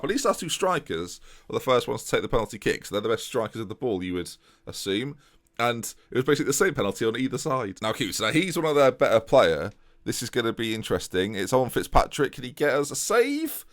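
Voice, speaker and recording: A young man giving chatty, excitable commentary over a video game, often tripping over his words and joking at himself. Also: mid-range voice. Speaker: gaming YouTuber. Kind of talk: casual let's-play commentary.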